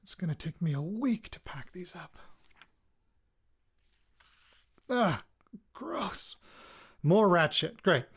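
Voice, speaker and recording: Weary, higher-voiced male radio reporter. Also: big pitch swings, fairly bright tone, slightly rough, heavily acted. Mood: irritated. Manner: halting, self-interrupting, muttered cursing. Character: weary, jittery male radio reporter recording personal audio notes. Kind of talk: audio-diary fiction podcast acting.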